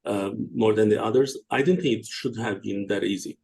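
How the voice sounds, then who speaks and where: A man, hosting an interview and asking questions in a hesitant, halting way with many fillers. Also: on the low side, creaky, a little mellow, a little hiss or hum. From Turkey. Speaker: host.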